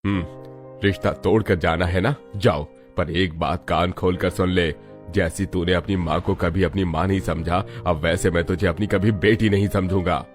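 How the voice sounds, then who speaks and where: A man plays a stern, proud rich father in a cartoon melodrama, scolding and threatening. His voice is low, with light music behind. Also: fairly even intonation, normal speed, natural voice. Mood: angry, stern. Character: stern, proud wealthy father of a grown daughter.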